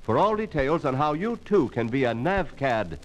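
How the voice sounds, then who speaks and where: A man's voice: medium pitch, big pitch swings, moderate pace. An American man reading a recruitment advertisement in the style of a 1950s radio announcer. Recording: 1950s radio recording, faint noise.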